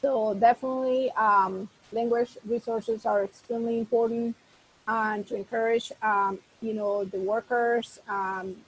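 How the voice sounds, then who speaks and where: A woman with a Costa Rican Spanish accent, a native Spanish speaker, speaks on a panel over a video call. Her voice sits a little high and stays flat in pitch, with many pauses and fillers, over faint hiss. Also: rough recording. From Costa Rica.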